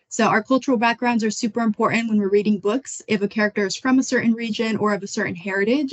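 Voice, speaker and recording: A young adult woman from Florida giving a measured, prepared book talk over a video call. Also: mid-range voice. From United States (Florida). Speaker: book selection editor. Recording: muffled dull audio.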